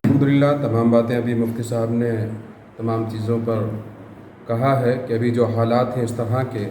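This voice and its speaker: Male community leader from southern India, average pitch, formal appeal to the public, faint noise. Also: level tone, normal speed, mellow. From Karnataka, India. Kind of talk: public statement to media. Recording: roomy echo, faint background noise.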